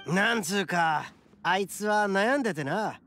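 Brash young oni hero, anime game; swaggering, informal, good-hearted, over game music. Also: male voice, high-pitched, animated, measured pace, a bit bright, full character voice. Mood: reassuring. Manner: swaggering, rough informal speech. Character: brash, cocky, kind-hearted young male oni gang boss.

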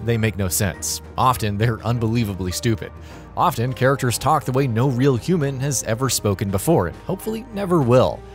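A man reading a scripted video narration as the narrator. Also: medium pitch.

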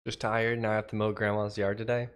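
A man's voice: on the low side, flat delivery, fairly quick, clean tone. A young man from Washington state with a slightly nasal, congested voice and a cough, chatting casually with his livestream chat while he codes. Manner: chatty, thinks aloud, reads chat aloud. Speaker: livecoding streamer.

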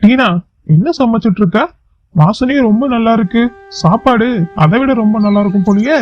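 A woman's voice: a little high, normal speed, highs cut off, soft background music, lightly acted. A woman voicing a sly, conniving male bird husband in a children's cartoon moral story. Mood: curious, pleased.

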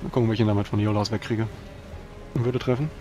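A man's voice: on the low side, steady tone, quick pace, over music. A man chatting casually as a player in a tabletop role-playing game.

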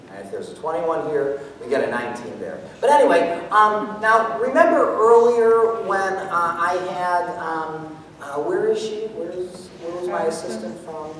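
High-voiced man presenting in an echoey hall. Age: middle-aged. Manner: animated, explaining step by step. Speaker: presenter demonstrating mental math. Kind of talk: live lecture-demonstration to an audience. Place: large auditorium stage, indoors. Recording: echoey hall from far off, background noise.

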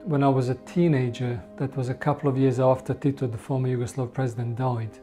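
A man from Bosnia in his forties giving calm, steady documentary interview testimony. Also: medium pitch, fairly quick, soft background music. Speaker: genocide survivor interviewee. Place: indoor room with bookshelves.

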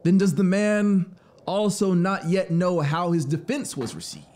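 A man's voice: high voice, lively intonation, measured pace, a little mellow, a little hiss or hum, voice pushed up. A man voicing an inquisitive, disbelieving male traveler in a storybook reading, questioning and incredulous.

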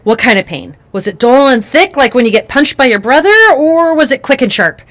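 A woman's voice: sits high, lively intonation, fairly quick, pitched above the performer's own voice. A woman voicing her father, a curious mechanic coaxing details out of his young daughter with probing questions.

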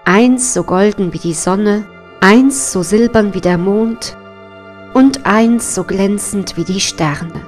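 Slow-paced woman telling a fairy tale. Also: mid-range voice, soft background music. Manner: measured, deliberate storytelling. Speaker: storyteller. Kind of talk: scripted fairy tale narration.

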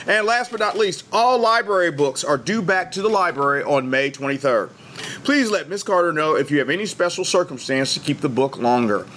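A man's voice: fairly high pitch, fairly bright tone, a little hiss or hum. Upbeat man reading school announcements. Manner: upbeat, friendly, direct address to students. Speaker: school administrator giving announcements. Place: school TV studio, indoors, green screen.

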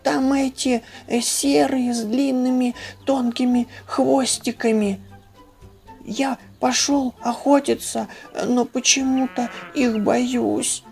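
Someone putting on a tiny whimpering puppy, high-pitched. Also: fairly even intonation, slow, vocal fry, darkish tone, soft background music, exaggerated voice. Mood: frightened, whining. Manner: whimpering, squealing, timid. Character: small frightened whining puppy, naive and apologetic. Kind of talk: children's storybook reading.

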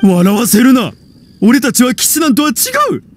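A man's voice: very high voice, lively intonation, moderate pace, a little mellow, soft background music. A man voicing a vain, hot-headed and scornful showman in an anime video game, pompous and theatrical in delivery. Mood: scornful, defiant.

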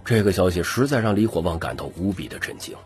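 Very deep male audiobook narrator. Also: expressive, quick pace. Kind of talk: scripted dramatic story narration. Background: music.

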